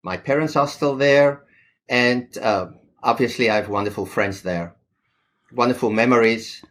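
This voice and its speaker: Middle-aged man, measured and hesitant, interview guest. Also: medium pitch, a bit bright. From South Africa. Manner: thoughtful, hesitant with fillers. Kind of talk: podcast interview.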